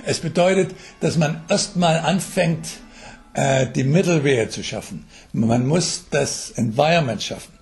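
An older man with a slightly high voice gives explanatory interview answers. He speaks haltingly and mixes English jargon into his sentences. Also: faint music behind. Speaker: mobile health expert being interviewed. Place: telephone interview.

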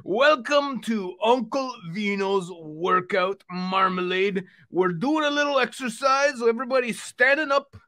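A man voicing an excitable, friendly male puppet who hosts an exercise show for children and repeatedly calls out to the audience. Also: extremely high pitch, unhurried, hardly any pauses, voice pushed up, full character voice. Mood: excited, encouraging. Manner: exclamatory, repetitive, addresses the audience.

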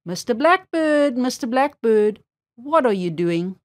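A woman's voice: fairly high pitch, sing-song, unhurried. A woman voicing a sly, hungry male fox in a storybook, with wheedling, insistent questions. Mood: demanding, sly.

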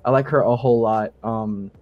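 Guy guesting on a gaming podcast, mid pitch, super informal, says like a lot, explains stuff casually. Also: fairly even intonation, normal speed, narrow, tinny audio. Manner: informal, frequent "like" and quoted asides. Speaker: guest co-host, game sound designer. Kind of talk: casual interview chat.

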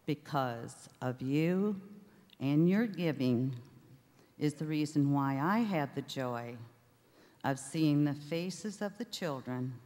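Older lady telling a touching story at a stand mic, low voice, slow, big hall echo. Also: darkish tone. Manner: storytelling, acts out quoted voices. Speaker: charity director. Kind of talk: heartfelt speech to an audience. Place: large theater stage. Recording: reverberant hall with audience.

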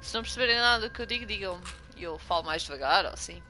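A woman's voice: mid-range voice, expressive, rapid-fire, bright, forceful tone, soft background music. A young woman from Portugal with a strong European Portuguese accent, streaming a game and chatting with viewers. She gets jumpy and swears when startled. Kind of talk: casual gameplay commentary.

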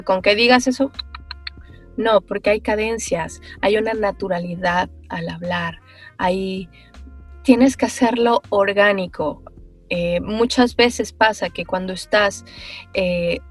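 A woman, a voice actress answering interview questions on a video call, thoughtful and animated, with many pauses and fillers. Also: medium pitch. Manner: animated, gestures while talking. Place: small room with foam panels.

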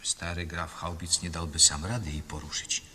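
An actor playing a sober, dismissive explorer in a 1970s Polish radio drama. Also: male voice, low voice, flat delivery, moderate pace, pushed and bright. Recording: echoing hall, faint noise, old radio recording.

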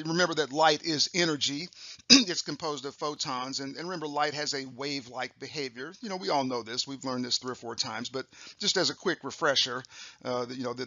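Raspy older man giving a chatty lecture. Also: mid-range voice, bright, forceful tone, no echo, muffled recording. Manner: chatty, upbeat, frequent fillers and restarts. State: raspy, clears throat often. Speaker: optometrist lecturer. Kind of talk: recorded continuing-education lecture. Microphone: voice-over on slides.

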